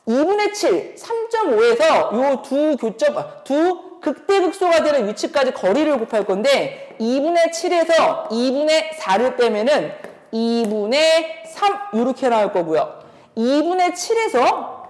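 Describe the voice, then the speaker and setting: A woman lectures as an instructor in a high-pitched voice with very lively intonation. She explains step by step, tossing out questions as she works through each point. The recording carries long room reverberation. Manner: explanatory, thinking aloud with rhetorical questions. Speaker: math academy instructor. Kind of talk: exam problem explanation lecture.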